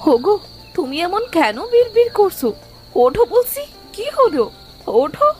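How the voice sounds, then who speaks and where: A very high-pitched village wife and mother played by a woman, speaking slowly with big swoops. She is fearful, plaintive and quick to scold, in cartoon fairy tale style. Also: sing-song, a little mellow, soft background music, exaggerated voice. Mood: scolding, puzzled. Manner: plaintive, urging.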